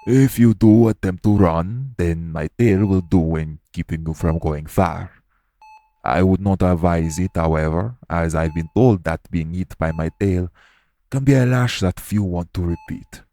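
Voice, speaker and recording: A man acting a formal, patient male monster doctor with measured, stern speech in an audio roleplay. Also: low voice, warm tone. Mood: calm warning.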